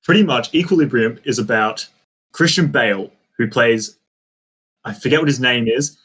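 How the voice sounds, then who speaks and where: A man's voice: mid-range voice, normal speed, a bit bright, husky. A man co-hosting a podcast in a casual film chat, speaking in an enthusiastic, emphatic way. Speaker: podcast co-host. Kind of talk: casual group chat about films.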